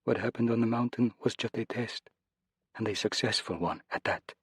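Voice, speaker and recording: A man's voice: medium pitch, normal speed, vocal fry, breathy edge, dry recording, studio quality, pitched above the performer's own voice. A man voicing an anguished man freed from a demon, sobbing and screaming his pleas. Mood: urgent.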